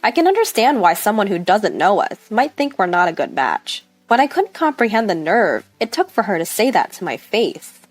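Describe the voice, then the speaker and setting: A woman's voice: mid-range voice, sing-song, normal speed, hardly any pauses, no echo. A woman reading a scripted first-person story as its narrator.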